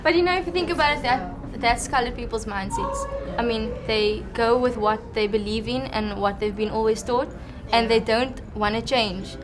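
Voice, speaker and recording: A young woman of eighteen with a Cape Town South African accent. Her voice sits fairly high and her talk is slangy and casual, recorded with clear ambient noise. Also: normal speed, hardly any pauses. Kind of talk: casual group chat.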